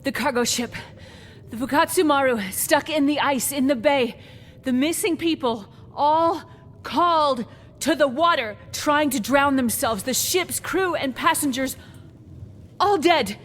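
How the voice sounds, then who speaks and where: A woman's voice: sits high, unhurried, a bit bright. An actress playing a distraught, insistent woman doctor warning townspeople in a video game drama. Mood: shaken, urgent. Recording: echoey hall with background noise.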